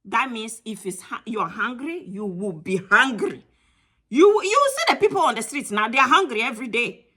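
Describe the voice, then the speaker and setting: A woman's voice: a little high, sing-song, moderate pace, pushed and bright. A woman with a Ghanaian accent talks casually to camera, giving blunt travel advice and repeating points for emphasis.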